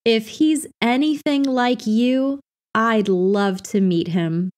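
A woman reading everyday practice phrases for an English lesson in a clear, carefully enunciated scripted delivery. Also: on the high side, slow pace, darkish tone.

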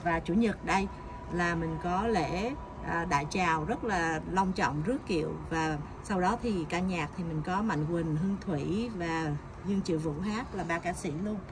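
A woman's voice: lowish voice, normal speed. A middle-aged woman chatting enthusiastically as she promotes a community event in a casual vlog. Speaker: vlogger announcing a community event. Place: outdoors at a building's glass entrance. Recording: noisy outdoor camera audio.

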